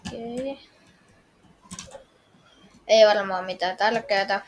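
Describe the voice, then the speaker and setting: A woman's voice: mid-range voice, steady tone, a little hiss or hum. A woman role-playing her way through a game in casual, hesitant talk, musing and asking questions. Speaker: gamer playing through a story. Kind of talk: casual improvised role-play commentary.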